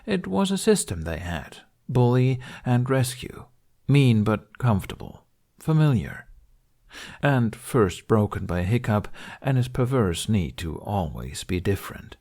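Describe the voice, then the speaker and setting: A man with a fairly low voice reads a fantasy novel aloud as an audiobook narrator. His delivery is scripted storytelling, carried in that lower register. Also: sing-song, a little slow, a little mellow.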